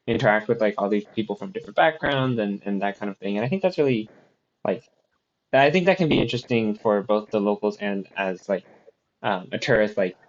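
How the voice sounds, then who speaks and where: A man's voice: on the low side, a little hiss or hum. A man chatting casually on a podcast, rambling with lots of fillers and restarts. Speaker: podcast co-host. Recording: slightly dull lo-fi sound.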